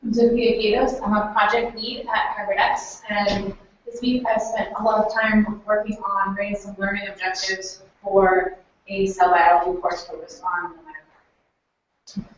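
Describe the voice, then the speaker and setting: Female project lead, flat delivery, distant and dull. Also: mid-range voice, normal speed, very echoey.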